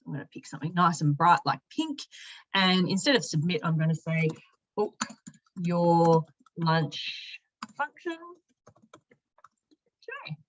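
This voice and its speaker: A woman narrating an informal software walkthrough, explaining each step conversationally as a product demonstrator. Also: lowish voice, sing-song, measured pace, pauses often, lo-fi sound, a little hiss or hum. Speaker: product demonstrator, company sales and marketing director.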